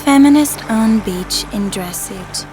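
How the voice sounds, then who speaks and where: Woman, mid-range voice, measured deadpan poetry reading, clear music behind. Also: mid-range voice, low-quality recording. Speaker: poetry reader.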